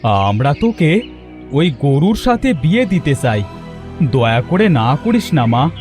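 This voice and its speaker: A man's voice: on the high side, sing-song, slow, a little mellow, faint music behind. A man voicing a poor, worried middle-aged village father in a cartoon, pleading and plaintive. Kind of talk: Bengali cartoon voice acting.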